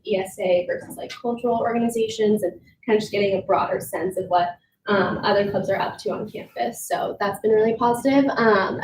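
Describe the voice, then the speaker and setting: A young woman giving a report at a student meeting, congested from a cold, talking casually with lots of "um"s. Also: mid-range voice. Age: young adult. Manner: chatty, many "um"s and "kind of". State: congested, sick. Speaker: student government vice president. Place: meeting room. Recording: echoey room, far from mic, noisy.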